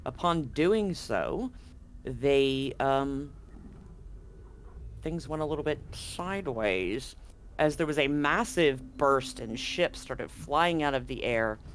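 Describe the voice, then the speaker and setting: A woman's voice: extremely low pitch, animated, slow, slight background noise. A woman as game master, recapping the story in a chatty, rambling way with frequent restarts. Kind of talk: recapping a tabletop game to a live audience.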